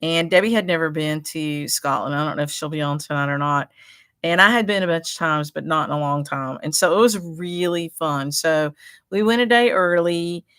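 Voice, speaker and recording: A woman's voice: low voice, moderate pace, fairly bright tone, smooth, no echo, studio quality. A middle-aged woman who runs a needlework shop, chatting casually on a livestream, rambling and greeting viewers by name. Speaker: stitchery shop owner hosting a livestream. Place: her shop in a small town.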